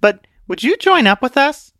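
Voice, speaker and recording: A man's voice: very high voice, moderate pace, no echo, clean studio sound, voice pushed up, exaggerated voice. A man voicing a pushy, sarcastic young female sniper in a storybook reading. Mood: brash. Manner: bossy, sarcastic, excitable.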